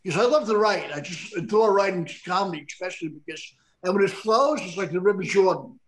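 A man's voice: sits high, fast talker, low-quality recording. A man hosting a podcast in casual chat over a video call, rambling with lots of stumbling restarts. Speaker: podcast host.

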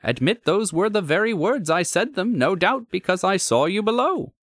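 A man voicing a curious, composed gentleman visitor in a storybook, asking questions politely and reassuringly. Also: high-pitched, animated, moderate pace, no echo, clean studio sound, pitched above the performer's own voice. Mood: reassuring, reasonable. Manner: polite, inquisitive.

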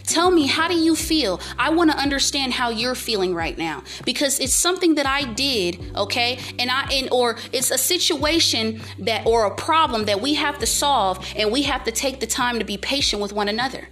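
A young woman vlogger giving motivational life advice in a loud, emphatic, repetitive way. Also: high-pitched, lively intonation, moderate pace, few pauses, faint music behind. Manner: emphatic, preachy, repeats herself, speaks up loudly. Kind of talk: casual motivational monologue to camera.

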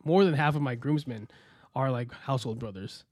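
A young American man telling his story as a podcast guest, relaxed and rambling with many fillers and restarts. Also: medium pitch, normal speed, no room sound. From United States. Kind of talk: casual interview chat.

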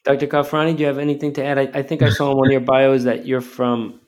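A man hosting a panel interview, asking questions in a hesitant, halting way. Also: mid-range voice, level tone, a little mellow. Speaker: host. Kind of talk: interview moderation.

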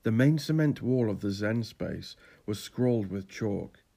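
Male narrator for literary audio fiction, lower register, gravelly creak, scripted delivery. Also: lively intonation, a little slow, warm tone.

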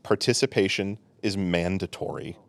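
A man's voice: deep voice, normal speed, vocal fry, no echo, clean studio sound. A man hosting a casual podcast, running the chat with playful, jokey asides. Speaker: podcast host.

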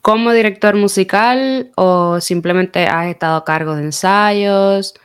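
A woman's voice: medium pitch, a little slow, clear, resonant tone. A woman with a Puerto Rican Spanish accent, interviewing a guest with chatty, probing questions. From Puerto Rico. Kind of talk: conversational interview.

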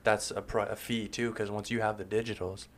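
A man, a photographer guest on a podcast, chatting and explaining in a quick, easy way. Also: fairly low pitch, little pitch movement, a crowd in the background. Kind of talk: casual chat.

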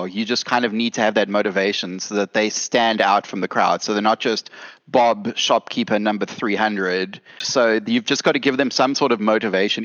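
Man, lowish voice, matter-of-fact, lo-fi audio. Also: a bit bright. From Durban. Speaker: panelist.

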